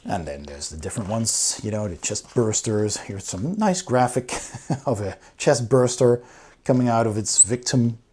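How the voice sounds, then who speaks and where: A man's voice: lowish voice, moderate pace, a little mellow, husky. A man giving a casual, enthusiastic review, talking through a book as he goes. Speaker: board game reviewer.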